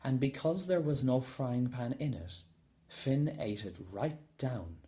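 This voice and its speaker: A man reading a children's storybook aloud in an expressive narrating style. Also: medium pitch, takes it slowly. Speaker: storybook reader.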